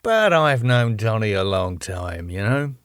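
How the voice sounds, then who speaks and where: An eager young British band manager, as a man reads him, persuasive and earnest. His pitch bounces about lively, and the recording is dry and close. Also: a little slow, clear, resonant tone, no room sound.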